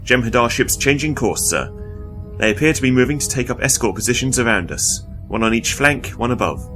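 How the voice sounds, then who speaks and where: A man's voice: medium pitch, lively intonation, a little fast, fairly bright tone, soft background music, lightly acted. A man playing a dutiful starship lieutenant in a science-fiction audio drama, reporting in a clipped, factual way. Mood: alert, factual.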